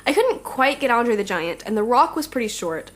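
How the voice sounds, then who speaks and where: A woman's voice: on the high side, sing-song, normal speed. A young woman playing a playful, conscience-stricken young supervillain in an audio drama, talking in a casual, teasing way. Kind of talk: naturalistic audio drama acting.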